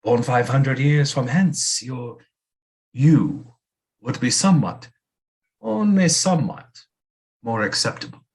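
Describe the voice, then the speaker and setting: An actor playing a flattering, theatrical male seer and physician to a queen in an audio play, speaking in a grand, courtly, dramatic manner. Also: medium pitch, takes it slowly, long pauses. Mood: theatrical, mysterious.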